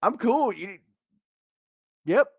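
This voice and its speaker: American guy on a stream podcast, jokey and rambly, pitch jumps around a lot, dry sound. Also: sing-song, very slow, creaky, breathy edge, dry recording. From United States. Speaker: podcast and livestream co-host. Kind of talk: casual unscripted banter.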